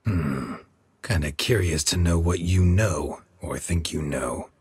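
A man voicing a weary, stoic monster hunter in a video game, with a gravelly growl and a terse, deadpan delivery. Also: deep voice, slow, full character voice. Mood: dry, wary.

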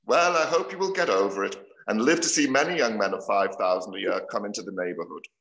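A man playing a dry, teasing middle-aged gentleman and father in a period comedy. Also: on the low side, quick pace, vocal fry. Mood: wry, unruffled. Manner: wry, deadpan teasing. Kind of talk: play read-through, period comedy. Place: indoor room, over video call. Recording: roomy video-call audio.

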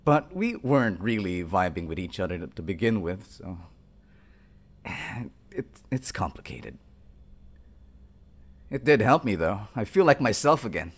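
Lively young college jock, acted by a man. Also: on the low side, a little slow, pauses often, full character voice. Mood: subdued, candid. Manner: informal slangy bro talk with hesitations. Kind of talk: roleplay audio acting, casual chat to the listener.

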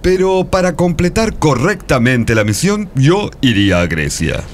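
An actor playing a confident, dryly teasing time-travel guide in a comic radio play. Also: male voice, a little high, big pitch swings, measured pace, a little hiss or hum. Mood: assured, wry. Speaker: radio theatre performer.

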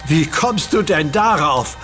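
A man's voice: a little high, expressive, slow pace, a bit bright, soft background music. An actor playing a young superhero in pain, gasping and irritable, strained by something in his head. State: pained, strained. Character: young male superhero in agony, defiant. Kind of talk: German radio play acting.

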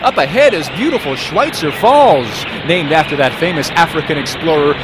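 A man's voice: fairly high pitch, expressive, moderate pace, very noisy, lightly acted. A man playing a wisecracking jungle riverboat guide who gives passengers a corny patter in a comic radio serial. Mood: breezy patter. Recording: loud outdoor engine ambience behind.

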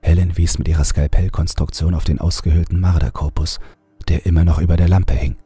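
A male narrator reads a scripted horror story. His voice is very deep and heavily husky, with a dark, mellow colour. Faint music plays behind him. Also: fairly even intonation, fairly quick, no room sound.

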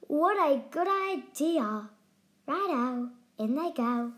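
A woman's voice: sits high, animated, takes it slowly, fairly bright tone, voice pushed up, full character voice. Woman doing a sly boastful dingo. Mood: gleeful. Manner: boastful, gleeful. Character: sly hungry male dingo cook, grinning. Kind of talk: storybook reading.

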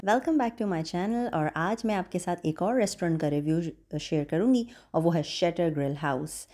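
Woman, 30s, food vlogger voice-over; lowish, mellow tone, easy friendly delivery. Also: normal speed, slight background noise. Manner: calm, friendly review talk with English words mixed in. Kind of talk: scripted voice-over narration.

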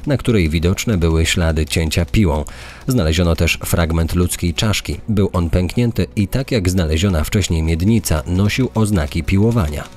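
A man reading scripted crime documentary narration, serious and measured. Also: deep voice, darkish tone.

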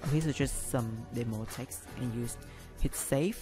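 A man hosting a screen-recorded software tutorial, talking through each step with frequent ums and restarts, English not his first language. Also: medium pitch, moderate pace, over music. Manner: hesitant, many ums and restarts. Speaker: business analyst tutorial host.

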